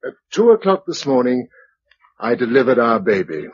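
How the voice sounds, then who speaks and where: An actor playing a practical, dryly humorous husband who keeps a journal after the apocalypse, in British radio drama style with measured, reflective delivery. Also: male voice, medium pitch, sing-song, husky. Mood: measured, sober. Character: practical, wry husband keeping a survival journal in a post-apocalyptic world. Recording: dull, lo-fi radio drama recording.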